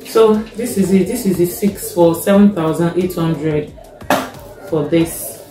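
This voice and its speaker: A young Nigerian woman with a Nigerian accent, casually showing her grocery haul, taking her time, checking a receipt and often pausing with fillers. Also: on the low side. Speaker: vlogger. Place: home kitchen, indoors. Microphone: fixed camera in front. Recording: echoey kitchen, faint music.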